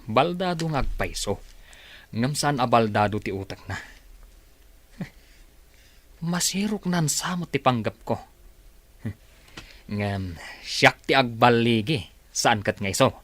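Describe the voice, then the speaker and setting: Pushy, scheming cousin with swooping pitch. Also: male voice, animated, slow pace, pauses often, a bit bright. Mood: scornful, scheming. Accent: Filipino, Ilocano. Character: pushy scheming male cousin after a rich relative's signature. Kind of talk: Filipino radio drama acting.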